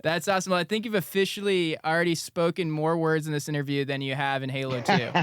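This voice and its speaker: A man's voice: fairly high pitch, fairly even intonation, clean tone, dry recording, studio quality. A young man hosting a podcast, asking questions in an eager, upbeat, casual way. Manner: upbeat, eager, quick asides. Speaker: podcast co-host.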